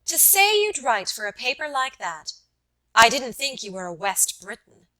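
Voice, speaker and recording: A woman's voice: on the high side, sing-song, moderate pace, bright, forceful tone, pitched above the performer's own voice, poor audio. A woman reading an audiobook, voicing a blunt, talkative young Irish lady with an eager, abrupt, questioning manner. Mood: blunt, accusing. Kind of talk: audiobook character dialogue.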